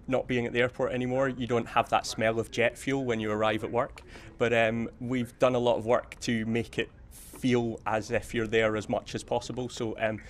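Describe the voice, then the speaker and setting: A man, an air traffic control manager, speaking off the cuff in an interview. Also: medium pitch. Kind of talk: spontaneous interview.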